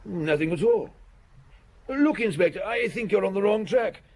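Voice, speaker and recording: An actor playing a nervous, ingratiating stepson of a rich family in a radio drama. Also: male voice, high voice, expressive, normal speed, darkish tone. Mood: defensive. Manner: eager, fussy, defensive.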